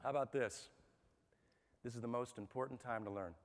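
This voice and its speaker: A man giving a lively workshop talk to a live audience, asking them questions and talking in a conversational way. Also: mid-range voice, fairly quick. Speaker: speaker at a management workshop. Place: large hall with an audience. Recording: long hall reverb.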